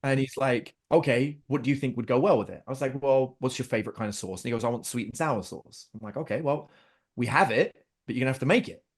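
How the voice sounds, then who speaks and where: A man's voice: mid-range voice, quick pace. A middle-aged man telling family stories in casual conversation, animatedly acting out his own lines and his children's. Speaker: podcast guest.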